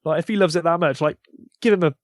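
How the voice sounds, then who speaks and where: Male podcast voice, a bit high, casual, emphatic and gushing, with a dry sound. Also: fast talker, no room sound. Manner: effusive, emphatic, wordy. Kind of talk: casual enthusiastic chat.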